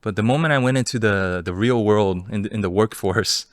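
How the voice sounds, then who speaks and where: Deep-voiced man telling his life story. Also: a little fast, dry recording. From Los Angeles, California. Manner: relaxed, candid, many fillers. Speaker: guest, entrepreneur and fund manager. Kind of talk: casual interview storytelling.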